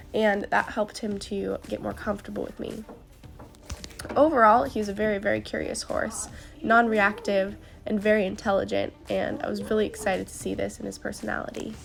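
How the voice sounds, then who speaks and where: Stuffed-up woman with a gravelly edge. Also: mid-range voice. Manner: upbeat and earnest, explaining step by step. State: stuffed up from a cold. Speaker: horse trainer. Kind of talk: voice-over narration of training footage.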